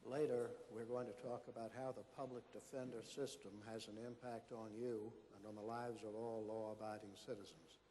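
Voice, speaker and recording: Male stage narrator: warm, mellow voice, scripted introductions, long room reverb, slight background noise. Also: lowish voice, level tone, moderate pace, hardly any pauses. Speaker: narrator of a readers theater re-enactment. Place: large hall before an audience. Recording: echoey hall, faint background noise.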